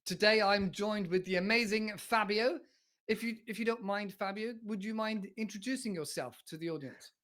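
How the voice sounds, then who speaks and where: A male podcast host with a very high voice chats casually with his guest. He repeats words and stumbles a little as he builds his questions. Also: normal speed, a bit bright. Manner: friendly, repeats words, stammers. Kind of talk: casual interview chat.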